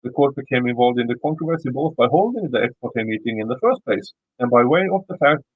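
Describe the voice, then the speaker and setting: A man's voice: mid-range voice, husky, no echo, phone-quality sound. A man with a Swedish accent who is not a native English speaker, reading a court filing aloud, stumbling and restarting words and throwing in blunt, cursing asides. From Sweden. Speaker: YouTube commentator.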